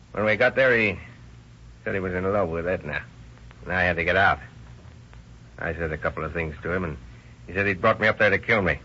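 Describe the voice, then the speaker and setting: A man's voice: sits low, bright, forceful tone, slightly rough, full character voice. A man playing a defensive, stammering murder suspect in a 1930s radio drama, with a muffled old recording sound.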